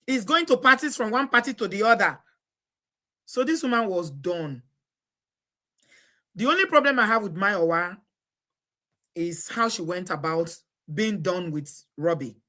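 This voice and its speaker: A woman's voice: mid-range voice, animated, measured pace, pauses often, sharp tone. A Nigerian woman hosting a live stream, chatty and exclamatory, often breaking into laughter. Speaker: host. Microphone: live stream setup.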